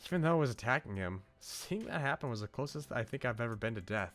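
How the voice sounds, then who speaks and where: A man voicing a wistful, gentle young woman from a visual novel, in a musing manner. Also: medium pitch, expressive, quick pace, lightly acted. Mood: earnest, doubting. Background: game music.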